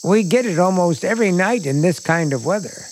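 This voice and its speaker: An actor playing a sinister, coaxing bridegroom in an old-time suspense radio drama, with a folksy, drawling, insistent manner. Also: male voice, sits high, animated, brisk, a little mellow, slight background noise, rough recording. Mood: flatly proud. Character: sinister, coaxing New England bridegroom with secrets.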